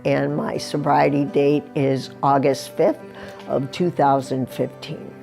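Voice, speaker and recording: Slow, gravelly woman in her sixties. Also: very deep voice, little pitch movement, dark tone, faint music behind. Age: 60s. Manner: plain, unhurried and candid. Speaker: person in recovery. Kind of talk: personal testimony interview.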